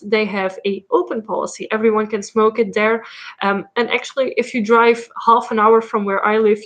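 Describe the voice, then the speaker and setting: A young woman from Belgium with a Flemish Dutch accent, chatting casually while answering viewer questions on her livestream, with many ums and likes. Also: on the high side. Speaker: livestream host. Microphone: laptop mic.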